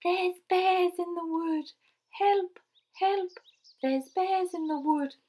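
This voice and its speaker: A woman voicing a frightened young girl in a children's storybook, crying out loudly in shrill panic. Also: slow pace. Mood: panicked.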